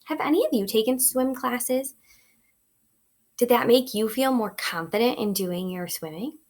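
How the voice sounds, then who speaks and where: A woman teacher reading a picture book aloud to children, expressive and instructive, pausing to ask questions. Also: fairly high pitch. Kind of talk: read-aloud lesson, storytelling with questions to children.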